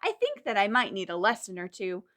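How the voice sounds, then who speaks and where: A woman voicing a mild, sensible man from a children's picture book, in a measured, thoughtful way. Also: mid-range voice, huge pitch swings, a bit bright, pitched below the performer's own voice. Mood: mild, reflective.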